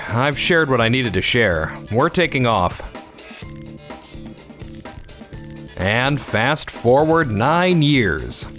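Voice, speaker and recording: A man's voice: medium pitch, a bit bright. A man playing a polite, unassuming farmer son in a manga dub, speaking in an earnest, matter-of-fact way. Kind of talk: manga dub narration and dialogue.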